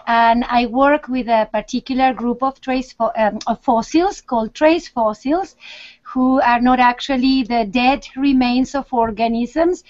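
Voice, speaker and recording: Higher-voiced woman from Argentina. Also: a little slow, a little mellow, dull audio. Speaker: researcher. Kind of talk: casual panel chat.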